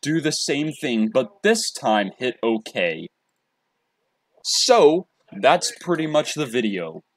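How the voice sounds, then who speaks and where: A man presenting a casual software tutorial, talking in a halting way and often repeating his phrases. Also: medium pitch, big pitch swings, no room sound. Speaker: tutorial presenter and hobby voice actor.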